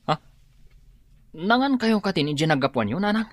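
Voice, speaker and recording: A woman's voice: medium pitch, big pitch swings, normal speed, no room sound. A woman acting a scornful, bickering grown child in an Ilocano radio drama. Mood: questioning.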